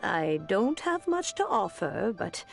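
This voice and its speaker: A woman voicing a wise, kindly old granny in a video game, unhurried and gently chiding. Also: medium pitch, very animated, vocal fry, soft background music, exaggerated voice. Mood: warm, inviting. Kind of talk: video game dialogue acting.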